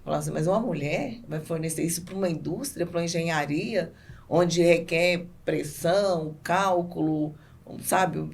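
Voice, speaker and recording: A woman with a Brazilian accent and a slightly low voice talks as a guest in a casual interview. She explains her experience in a halting way, often repeating words. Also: vocal fry, a little mellow, husky. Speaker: podcast guest, business owner.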